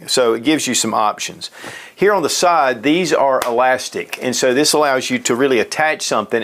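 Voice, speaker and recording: A man with a mid-pitched voice presents a piece of gear in a casual, unscripted style. He explains each feature step by step, sounding practical and matter-of-fact. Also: a bit bright. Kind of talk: casual product demonstration.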